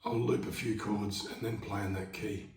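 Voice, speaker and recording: Hoarse man explaining guitar, laid-back. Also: lowish voice, steady tone, moderate pace, a little mellow, distant mic. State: hoarse, losing his voice. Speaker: market busker teaching guitar. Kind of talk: casual instructional talk. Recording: roomy sound, away from the mic, guitar playing behind.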